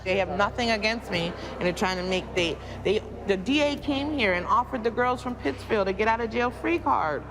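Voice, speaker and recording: A woman's voice: mid-range voice, lively intonation, normal speed, few pauses, noisy background. A woman jail inmate speaking indignantly and emphatically about her case, repeating words for force. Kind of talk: documentary interview.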